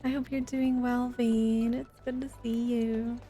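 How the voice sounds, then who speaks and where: A woman's voice: on the high side, little pitch movement, measured pace, dark tone, faint music behind. A woman chatting casually with her viewers while livestreaming a video game, in a chatty, giggly manner.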